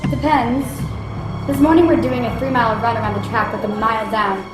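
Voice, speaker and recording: A woman's voice: fairly high pitch, steady tone, a little fast, lightly acted. Woman reading as an eager schoolgirl in an echoey room. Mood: bright, eager. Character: excited teenage schoolgirl runner chatting with uncle. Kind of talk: script table read. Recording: echoey room, music behind.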